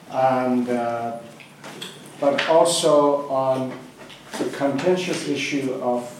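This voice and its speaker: A man whose first language is Farsi, giving a public talk at a book gathering, with many pauses, fillers and restarts. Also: mid-range voice, noisy background. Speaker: guest speaker at a book club gathering. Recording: echoey hall, far from the mic.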